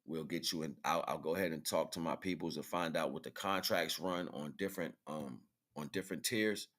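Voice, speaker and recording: A man with a deep voice hosts a casual livestream. He speaks informally and in slang, often ending his thoughts with a tag question to the listener. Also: fairly quick, a bit bright. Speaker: livestream host.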